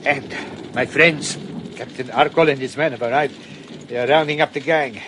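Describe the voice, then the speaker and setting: A man's voice: mid-range voice, normal speed, background noise, lightly acted. An actor in a radio drama playing a proud older man who speaks solemnly and commandingly to his sworn friends.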